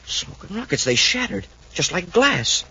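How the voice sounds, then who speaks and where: An actor playing an eager, boyish space cadet sidekick, earnest and deferential, in a 1950s radio adventure serial. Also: male voice, high voice, big pitch swings, a little slow, pushed and bright, heavily acted. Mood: astonished. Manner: earnest, wide-eyed, deferential. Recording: dull, noisy 1950s radio recording.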